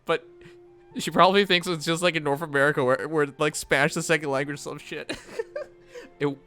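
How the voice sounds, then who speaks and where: A young man streams in an animated, rambling way, quick to laugh as he talks. His voice is bright and sits a little high. Faint music plays behind him. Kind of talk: casual stream chat.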